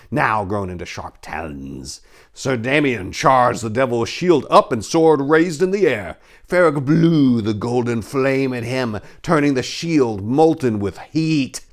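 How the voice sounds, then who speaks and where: A man's voice: medium pitch, vocal fry. A man giving a dramatic, grave reading of a scripted fantasy story, with a theatrical storyteller's delivery.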